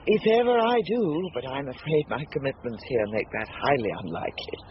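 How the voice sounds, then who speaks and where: Refined English spinster, precise and wry, very swooping intonation. Noisy radio drama recording. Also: female voice, extremely low pitch, sing-song, brisk, dull audio. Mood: polite, wry.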